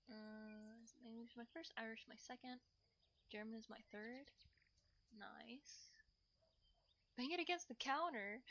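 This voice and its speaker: A woman's voice: fairly high pitch, fairly bright tone, highs cut off. A woman livestreamer chatting casually to viewers at home while doing chores, often muttering to herself and repeating phrases.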